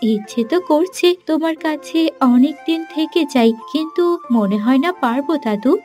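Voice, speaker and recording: A woman's voice: high-pitched, expressive, a little slow, a little mellow, faint music behind. A woman voicing a sweet, inquisitive young princess in a fairy-tale cartoon, eager and determined. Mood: sweet, curious.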